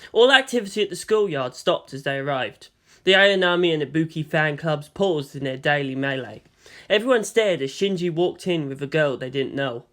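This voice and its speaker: A man reading a story as narrator in a dramatic, expressive scripted style. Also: a little high. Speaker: narrator of fan fiction.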